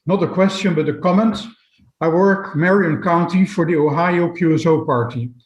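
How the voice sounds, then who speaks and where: Male webinar moderator, slightly high voice, halting read-outs of audience questions, noticeably echoey room. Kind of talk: reading out audience questions and comments. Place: indoors, online webinar.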